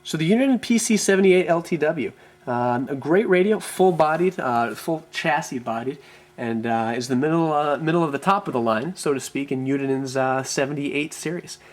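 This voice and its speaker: A man presenting an unscripted product walkthrough as a reviewer, often pausing with ums and repeating words as he goes. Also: fairly high pitch.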